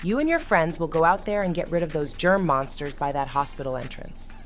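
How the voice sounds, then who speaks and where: Woman as adult cartoon heroine, confident mentor, commanding, calm, faint music underneath. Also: deep voice, a little fast. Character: confident adult female monster fighter mentoring kids. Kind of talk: cartoon comic dub acting.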